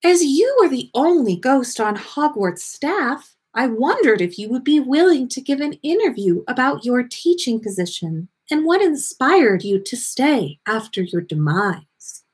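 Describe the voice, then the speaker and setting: A woman voicing a dreamy, friendly teenage schoolgirl in a storybook, with a bright, airy voice and polite, serene delivery. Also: high voice, sing-song, a little slow, few pauses, slightly rough, exaggerated voice. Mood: friendly, unfazed.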